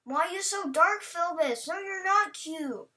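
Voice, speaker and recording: A woman's voice: very high voice, expressive, unhurried, bright, forceful tone. A woman hosting a reaction video in a casual, rambling, playful way, chatting to what she watches. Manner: rambling, playful, talks to the on-screen character. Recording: voice a bit far from mic.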